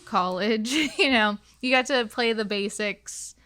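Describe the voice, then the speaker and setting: A woman's voice: on the high side, takes it slowly, fairly bright tone, smooth. A young woman talking casually and conversationally as a guest on a podcast. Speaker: podcast guest, game designer.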